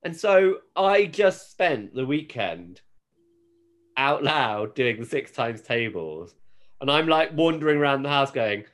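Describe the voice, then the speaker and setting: A man's voice: mid-range voice, big pitch swings, unhurried, fairly bright tone. A British man chatting casually as a podcast co-host. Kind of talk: casual chat.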